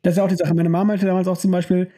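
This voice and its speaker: A man's voice: sits high, little pitch movement, very fast, warm tone. A young adult man co-hosting a podcast, chatting casually about films, rambling, joking and often trailing off mid-sentence. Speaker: podcast co-host. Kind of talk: casual film-discussion chat.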